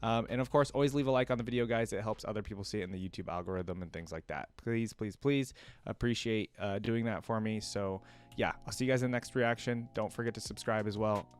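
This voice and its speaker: A man's voice: fairly low pitch, quick pace, smooth. A man hosting a reaction video, giving casual commentary in an informal, chatty, rambling way.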